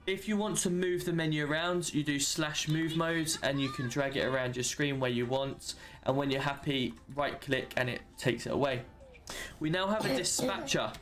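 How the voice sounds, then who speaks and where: A man's voice: mid-range voice, a bit bright, slight background noise. A man giving an informal, matter-of-fact walkthrough of a game system, explaining it step by step as a tutorial. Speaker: roleplay server member giving a tutorial.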